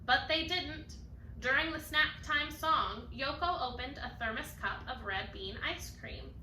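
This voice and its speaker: A woman with a high voice reads a children's storybook aloud, sounding very bright and crisp in tone. She is expressive and animated, as in a bedtime read-aloud. The recording is roomy and a little distant from the microphone. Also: unhurried. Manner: animated, acts out characters' lines. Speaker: storybook reader. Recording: roomy, distant mic, faint noise.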